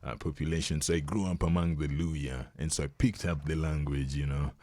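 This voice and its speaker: Very deep-voiced Kenyan man explaining. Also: brisk, no room sound. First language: Luo. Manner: relaxed, explanatory, chuckles often. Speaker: guest. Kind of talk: casual conversational interview.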